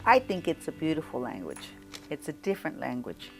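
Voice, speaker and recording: A woman's voice: deep voice, big pitch swings, a little fast, soft background music. A woman student interviewing a classmate, politely asking questions and restarting them midway.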